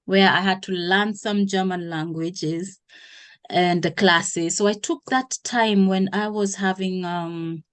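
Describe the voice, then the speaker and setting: A woman from Kenya in her thirties, a guest in an interview, who explains things at length with pauses and self-corrections. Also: mid-range voice, fairly even intonation, smooth. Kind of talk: interview conversation.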